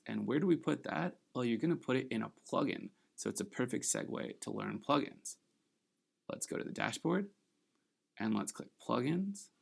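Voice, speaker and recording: Gravelly-voiced man walking through a tutorial. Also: mid-range voice. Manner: upbeat, encouraging, step-by-step instructions. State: slightly losing his voice. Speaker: tutorial host. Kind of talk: screen-recorded software tutorial.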